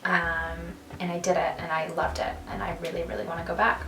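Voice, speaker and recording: A young woman from Canada chatting casually with a friend on a vlog, animated and laughing easily. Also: lowish voice, a little fast. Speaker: vlogger. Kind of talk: casual chat. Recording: roomy, from a distance, music behind.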